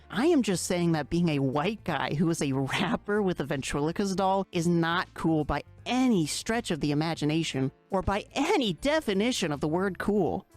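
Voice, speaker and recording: A woman voicing a skeptical, exasperated teenage boy in a fan-dubbed comic chat, dry, wordy and argumentative. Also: lowish voice. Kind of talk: fandub of a webcomic chat. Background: music.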